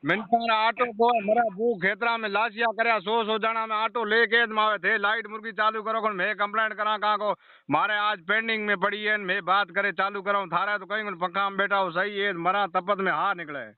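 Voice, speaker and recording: A man with a Rajasthani accent complains on a narrow, tinny phone line in colloquial dialect. His voice is fairly high and clear, and he keeps insistently repeating his grievance in exasperation. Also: little pitch movement, a little fast, no room sound. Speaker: customer phoning in a power-cut complaint. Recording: muffled, band-limited phone call recording.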